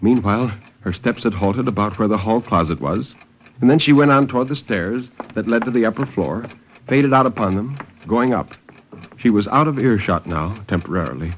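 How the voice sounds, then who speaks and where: A man giving a dramatic, deliberate and intense reading of suspense fiction in 1950s radio style. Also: medium pitch. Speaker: story narrator. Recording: muffled, noisy 1950s radio recording.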